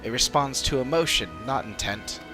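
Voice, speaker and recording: A man voicing an earnest, analytical adventuring companion in a fantasy game, speaking in a measured, formal way. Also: mid-range voice, over music, lightly acted. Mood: thoughtful. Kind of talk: dramatic reading of game dialogue.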